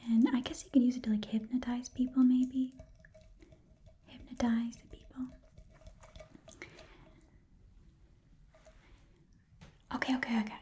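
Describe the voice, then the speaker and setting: A woman voicing a shifty, chatty goblin pawnshop keeper in an ASMR roleplay, whispering breathily in a rambling, hesitant way and repeating phrases softly. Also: on the high side, flat delivery, brisk, breathy edge. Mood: reluctant bargaining. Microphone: close ASMR microphone.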